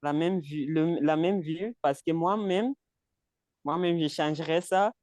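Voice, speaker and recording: A man from Cameroon whose first language is English leads a relaxed French practice session over a video call. His voice is smooth and fairly high for a man, and the sound is close and dry. He hesitates and repeats words, laughing lightly between phrases. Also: a little slow, no room sound. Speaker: conversation group leader. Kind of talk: casual language practice chat.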